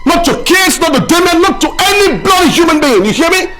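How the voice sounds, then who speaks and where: An actor playing a desperate, possessive man who swings between pleading and shouting, with film score behind. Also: a little high, wildly swooping pitch, normal speed, music in the background. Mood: furious. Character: desperate possessive man clinging to his love.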